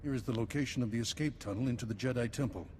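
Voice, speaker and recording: Game cast: man as a steady Jedi master, solemn delivery, long reverb. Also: mid-range voice, racing, a little hiss or hum, lightly acted. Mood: calm. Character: wise male Jedi master, calm and steady. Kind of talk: game voice acting.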